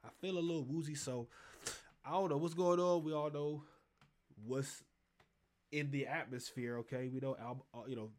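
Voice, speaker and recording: A man's voice: fairly high pitch, fairly even intonation, normal speed, long pauses, a little mellow. Casual male sports host, congested, rambling and profane.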